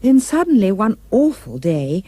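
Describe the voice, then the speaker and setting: Woman, storyteller, dramatic rhyming narration, very lively pitch, husky edge. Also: unhurried, dry recording. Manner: dramatic, emphatic delivery. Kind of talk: expressive rhyming storybook narration for a puppet show.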